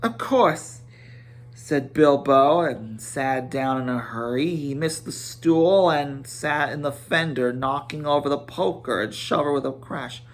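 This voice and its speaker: Man, storybook narrator, dramatic scripted reading, slow pace, medium pitch. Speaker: amateur audiobook narrator.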